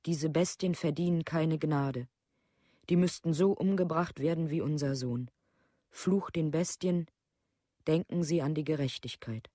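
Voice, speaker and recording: Radio drama: grieving mother, mellow dark voice, dry close sound, formal and bitterly accusing. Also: low voice, unhurried, no echo. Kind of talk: documentary radio play, read-out plea.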